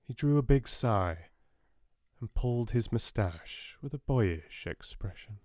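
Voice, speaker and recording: A soft-spoken man with a British accent, reading a storybook as an audiobook narrator. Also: mid-range voice, animated, slow, dry recording, studio quality.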